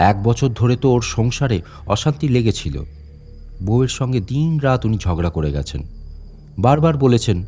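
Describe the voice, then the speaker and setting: Male narrator, lively pitch movement, confiding first-person storytelling as a talkative tour guide, faint music underneath. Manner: conversational, confiding, expressive. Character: talkative, deferential fort tour guide confiding old gossip. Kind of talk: dramatic first-person story reading, in character as a chatty guide.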